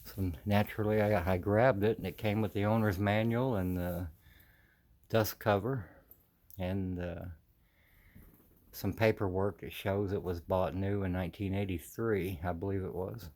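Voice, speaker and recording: An American man with a fairly low voice talks in a casual, folksy way, as if showing something off for a home video. His words come haltingly, with slightly slurred, imprecise consonants from missing teeth. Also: fairly even intonation, a little mellow. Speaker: vintage audio collector presenting his channel. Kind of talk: casual unscripted video chat.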